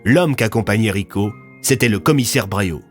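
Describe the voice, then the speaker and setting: Low-voiced male story narrator. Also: moderate pace, soft background music. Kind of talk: scripted audio fiction narration.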